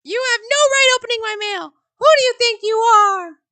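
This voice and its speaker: A woman voicing a frail, defensive elderly mother in a casual reading of a screenplay, with a high quavering wail. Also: normal speed, bright, forceful tone. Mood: shrill.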